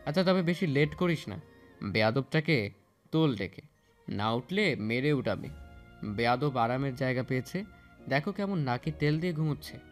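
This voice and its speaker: A man's voice: medium pitch, lively intonation, moderate pace, pauses often, lightly acted. A man playing an irritable, protective young older cousin in an audio story, with a scolding colloquial manner. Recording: music bed under voice.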